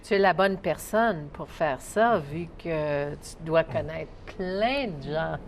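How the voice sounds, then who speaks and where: A woman with a fairly low voice co-hosts a relaxed television talk segment. She chimes in with short, friendly prompts for the guests. A faint hiss runs under the recording. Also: slow pace. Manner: brief interjections, friendly prompting. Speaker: co-host. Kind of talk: casual TV talk show chat.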